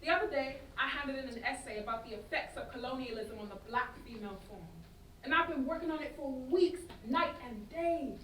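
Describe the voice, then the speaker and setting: A woman acting a sardonic, vexed young student in a staged play reading, animated and full of slang. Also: sits high, fairly quick. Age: young adult. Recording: roomy, distant stage recording with noise.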